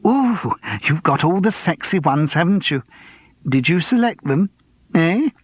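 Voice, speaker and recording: An excitable, saucy woman from an audiobook, put on by a male narrator. The voice jumps high and swoops about as it gushes and squeals with gossipy relish. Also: unhurried, slightly rough, dry recording. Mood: thrilled, saucy. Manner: squealing, gushing, stage-whispered. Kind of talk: audiobook character dialogue.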